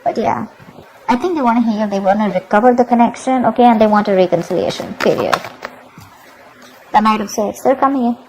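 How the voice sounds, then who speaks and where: A woman's voice: a little high, fairly quick, background noise. A woman giving a tarot reading to her viewers, emphatic and repetitive, often checking in with "okay?". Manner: emphatic, repeats herself, keeps asking "okay?". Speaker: tarot reader.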